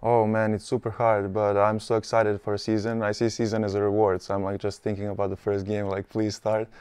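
A young man from Serbia with a Serbian accent, whose first language is Serbian, answering interview questions in a studio, halting and full of fillers as he searches for words. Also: lowish voice, completely flat delivery, darkish tone, clear, resonant tone, dry recording. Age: young adult. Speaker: college basketball player, studio guest. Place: TV studio couch.

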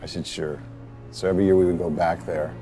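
A deep, gravelly-voiced widower, speaks in a soft, halting way, stopping often as he searches for words. He is outdoors on a city rooftop, recorded for a film with music playing underneath. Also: flat delivery. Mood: grieving. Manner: hesitant, halting, soft-spoken with many pauses. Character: grieving middle-aged widower, gentle and soft-spoken. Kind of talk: film drama scene with outtakes.

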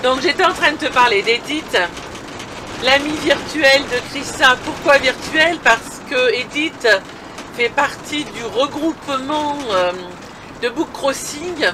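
A woman's voice: high-pitched, unhurried. A woman casually narrating a vlog from a camper van, chatty and speaking directly to viewers. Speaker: vlogger. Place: inside a moving or parked camper van. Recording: vehicle engine and road noise.

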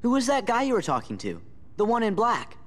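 A man's voice: high voice, huge pitch swings, brisk, fairly bright tone, full character voice. A young man playing a plucky, friendly teenage boy hero in a game, eager and direct. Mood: bold, curious.

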